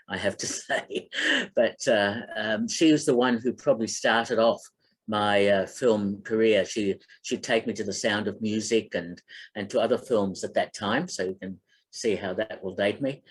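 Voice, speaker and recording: A man's voice: fairly low pitch, slightly rough. An older Australian man, German his first language, giving an online talk about his life in film, rambling with many fillers and restarts. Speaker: film critic and speaker.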